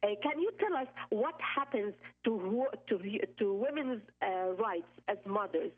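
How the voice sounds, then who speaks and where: A woman's voice: medium pitch, lively intonation, measured pace. Woman calling in, hesitant but pushy, tinny phone sound. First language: Arabic. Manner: hesitant, challenging. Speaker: caller. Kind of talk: call-in question.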